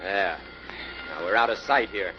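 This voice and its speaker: A man playing a tough American bank robber in an old radio crime drama, gruff, impatient and slangy. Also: on the low side, a little slow, lightly acted. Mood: wary, scolding. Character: tough, bossy, hard-boiled American bank robber, ex-convict. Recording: muffled, hissy old radio recording.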